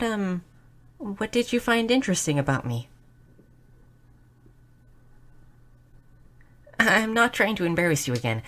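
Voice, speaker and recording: A woman voicing a shy, nerdy, curious young goblin girl in a roleplay audio drama, speaking softly and earnestly with small stammers. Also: fairly low pitch. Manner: soft, hesitant, stammering, earnest.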